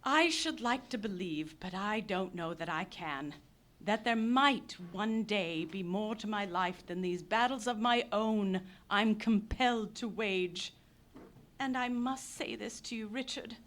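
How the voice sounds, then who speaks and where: A woman's voice: medium pitch, unhurried, fairly bright tone, lightly acted. An actress in a live radio play playing an impassioned young pacifist Englishwoman who speaks in declamatory bursts. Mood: somber. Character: passionate pacifist young Englishwoman, fiery idealist.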